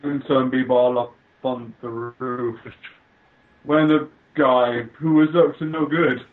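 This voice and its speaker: A man's voice: mid-range voice, fairly even intonation, takes it slowly. A man chatting casually while watching a film, dry and matter-of-fact. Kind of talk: casual group commentary over a call. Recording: tinny call audio.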